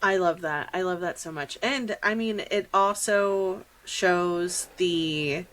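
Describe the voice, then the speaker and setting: A woman's voice: on the low side, takes it slowly, fairly bright tone. A millennial woman hosting a podcast, chatting in an informal, encouraging way with her guest. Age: millennial, 30s or so. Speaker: podcast host. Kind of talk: casual podcast interview chat.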